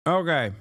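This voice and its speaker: A man's voice: high-pitched, gravelly, sharp tone, no echo, studio quality. A man doing casual live political reaction commentary as a streamer, sardonic and fond of rhetorical questions.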